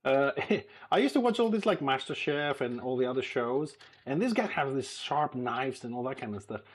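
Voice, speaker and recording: A man's voice: mid-range voice, sing-song, normal speed. A man from Greece, whose first language is Greek, chatting casually on a live stream as he cooks in his home kitchen. He is easygoing, laughs often, and has a slight lisp when he speaks English. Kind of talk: casual live-stream chat while cooking.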